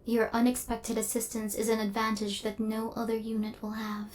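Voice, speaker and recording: A woman voicing a female killer robot in an ASMR roleplay, speaking in formal, clinical, literal phrases with a stern yet softening temper. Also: fairly high pitch, monotone, normal speed, a little hiss or hum, lightly acted.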